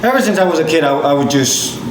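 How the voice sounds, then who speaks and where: A man's voice: on the high side, lively intonation, fast talker. A man in his twenties from Peru, a podcast guest telling his story casually with many fillers and restarts. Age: 20s. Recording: echoey room with loud background noise.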